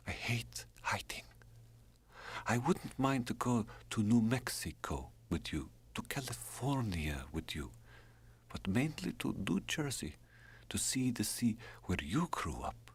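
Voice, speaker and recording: A man's voice: mid-range voice, voice pushed up, heavily acted. Man voicing a frail, whispering woman. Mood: wistful, yearning. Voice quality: soft, breathy, often whispering. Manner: halting, slightly unidiomatic English. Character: dying foreign woman lover, frail, tender, wistful.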